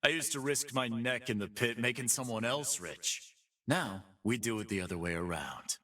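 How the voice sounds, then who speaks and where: An actor playing a cocky, streetwise pit-fighting boss, boastful and sardonic, in video game voice lines. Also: male voice, medium pitch, normal speed, distant mic. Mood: brash, commanding. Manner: streetwise, boastful, deadpan wisecracking. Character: cocky male pit-fighting boss, brawny half-beast with sardonic swagger.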